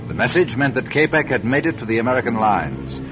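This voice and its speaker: A man narrating a 1940s radio drama in a measured, grave way. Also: medium pitch, animated. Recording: dull lo-fi radio recording with music.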